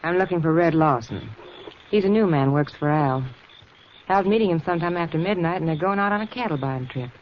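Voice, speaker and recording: Flirtatious, defiant frontier wife, American, vintage radio western, muffled sound with faint noise. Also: female voice, sits low, animated, fairly quick. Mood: teasing, impatient. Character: bold, flirtatious married woman, proud and defiant. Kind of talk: 1950s western radio drama acting. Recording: muffled, faintly noisy 1950s radio recording.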